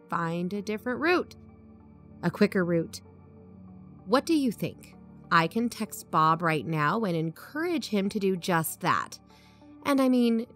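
A woman's voice: medium pitch, natural voice. Practical, blunt actress as a woman co-host. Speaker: podcast co-host. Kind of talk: podcast audio drama, conversational.

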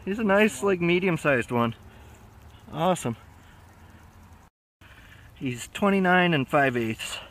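A man's voice: on the high side, animated, takes it slowly, long pauses, a bit bright. A man vlogging as he fishes, chatting casually in slangy exclamations to himself and a companion. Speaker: vlogger fishing from shore. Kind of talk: casual excited fishing chat. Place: outdoors on a riverbank. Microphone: action camera worn on the body. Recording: outdoor action camera with clear background noise.

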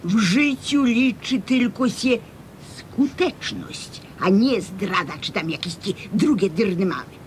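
A woman's voice: expressive, takes it slowly. An actress playing a brash, scheming hustler woman in a radio comedy serial, bossy and wheedling, with a Podlasie Polish accent. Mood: bossy, defiant. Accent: Podlasie (eastern Polish). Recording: faint rumbling background noise.

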